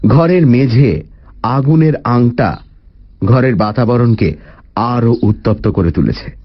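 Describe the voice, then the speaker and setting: A man's voice: medium pitch, animated, takes it slowly. A man narrating a scripted story dramatically, with suspenseful, literary phrasing. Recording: dull audio under clear music.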